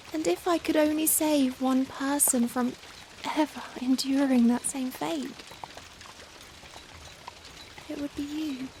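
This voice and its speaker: A young Victorian vampire, played by a woman in an intimate ASMR roleplay. The voice is high and soft, moving very slowly through formal, hesitant phrases. The character is tender, anguished and full of self-reproach, with rain pattering behind. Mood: grave, tender. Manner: hesitant, formal, emotional, pleading. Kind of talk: softly spoken ASMR roleplay acting. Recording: steady rain noise behind. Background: rain falling on a surface.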